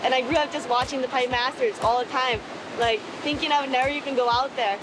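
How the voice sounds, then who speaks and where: A young woman surfer from Hawaii, talking excitedly and casually, full of "like". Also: high voice, noisy background. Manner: excited, fast casual chat with many "like"s.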